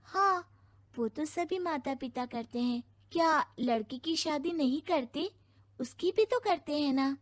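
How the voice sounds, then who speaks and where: A forthright, caring young daughter from a cartoon voiced by a woman has a high-pitched voice. She puts questions directly and asserts herself. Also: lively intonation, a little slow. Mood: questioning. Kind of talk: cartoon story dialogue.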